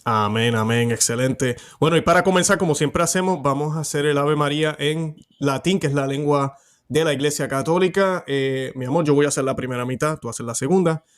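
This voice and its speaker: A man's voice: on the high side, fairly quick. A man hosting a religious interview show, introducing and questioning his guest in an upbeat, affectionate way. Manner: warm, affectionate and upbeat, with occasional fillers. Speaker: host.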